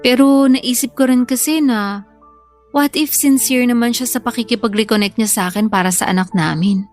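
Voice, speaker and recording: A woman playing a soft-hearted young single mother with a hesitant, apologetic manner in an audio drama. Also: on the high side, normal speed, soft background music, close to an everyday voice. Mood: unsure, defensive.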